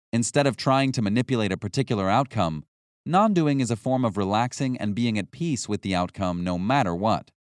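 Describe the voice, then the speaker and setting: A man reading a scripted nonfiction audiobook as narrator, in a measured, instructional way. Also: on the low side, no room sound, studio quality.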